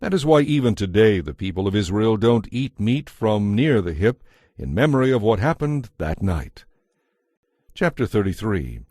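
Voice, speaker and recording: A man narrating a dramatized audio Bible in a measured, scripted storytelling style. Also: fairly low pitch, big pitch swings, mellow, no echo, clean studio sound.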